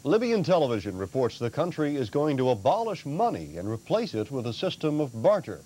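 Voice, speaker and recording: A man's voice: mid-range voice, wildly swooping pitch, a little fast, mellow, slightly rough. An American man reading scripted television news as an anchor, on a 1980s broadcast. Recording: 1980s TV broadcast, faint noise.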